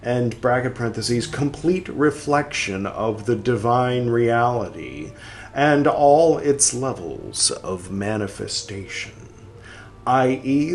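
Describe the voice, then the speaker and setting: A man hosting a podcast, reading a text aloud and speaking its punctuation, with quiet wry asides between passages. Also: medium pitch, a little hiss or hum. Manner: reads slowly, speaking the punctuation aloud and trailing into whispered asides.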